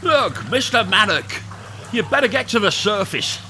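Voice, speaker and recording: A man's voice: sits high, animated, normal speed, bright, forceful tone. An actor playing an anxious, urgent mine crewman in a radio drama, heard in an echoing space. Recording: echoing cavern reverb, faint noise.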